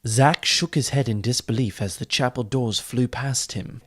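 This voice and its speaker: Man narrating dark fantasy theatrically. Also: medium pitch, normal speed, slightly rough. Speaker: audiobook narrator. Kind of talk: dramatic scripted fantasy narration.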